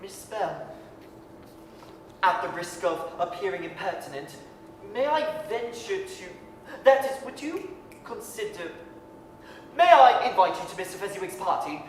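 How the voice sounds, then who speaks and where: A man playing a shy, smitten young apprentice, halting and courteous, in a staged 1930s-style radio drama. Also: high voice, animated. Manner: halting, flustered, courteous stammer. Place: performing arts center stage. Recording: echoey hall, distant, faint noise.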